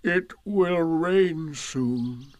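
A man voicing a gloomy, self-pitying old male donkey in a storybook reading, with a slow, mournful, sarcastic drawl. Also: on the high side, darkish tone, pitched above the performer's own voice.

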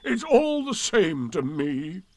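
A man voicing a gloomy, put-upon old donkey who grumbles slowly and morosely in a children's storybook reading. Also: high voice, big pitch swings, no room sound, pitched above the performer's own voice, exaggerated voice. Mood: gloomy, aggrieved. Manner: slow, morose, complaining.

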